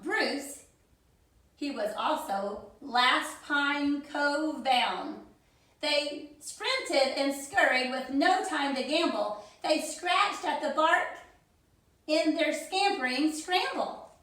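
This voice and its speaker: Slow, high-voiced woman reading in echoey room. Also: lively intonation, sharp tone. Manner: theatrical, deliberate phrasing. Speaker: teacher reading a picture book to children. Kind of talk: expressive read-aloud of rhyming children's story.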